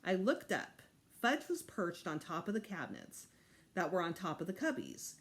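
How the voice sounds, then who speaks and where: A woman teacher reading a children's story aloud to students in an animated storytelling style. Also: fairly low pitch, normal speed.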